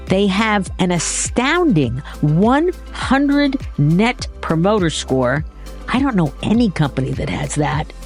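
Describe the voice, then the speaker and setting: A woman's voice: on the low side, a little mellow. Upbeat woman podcast host. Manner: upbeat, enthusiastic promoter. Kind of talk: scripted intro, then interview.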